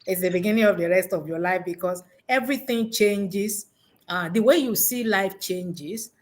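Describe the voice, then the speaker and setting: A woman's voice: medium pitch, normal speed, a little mellow. A woman giving unscripted, conversational interview answers as an education consultant, reflective and rephrasing her points for emphasis.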